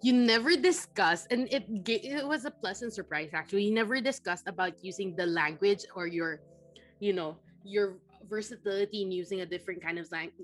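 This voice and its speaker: A woman's voice: medium pitch, brisk, faint music behind. A young woman from the Philippines hosting a podcast interview, chatty and full of fillers and restarts. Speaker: podcast host.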